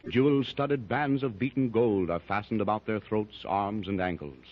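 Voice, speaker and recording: A man giving even, descriptive scripted narration for a 1930s radio serial. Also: on the low side, normal speed. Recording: dull old radio recording.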